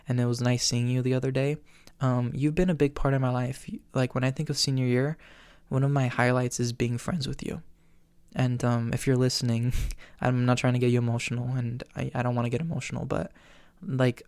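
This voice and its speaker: A man in his twenties hosting his podcast, answering listener questions on his own in a chatty, earnest way, with lots of ums and quick laughs. He says his voice is often tired and cracks. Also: medium pitch, clear, resonant tone, no room sound. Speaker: podcast host. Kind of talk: solo Q&A chat.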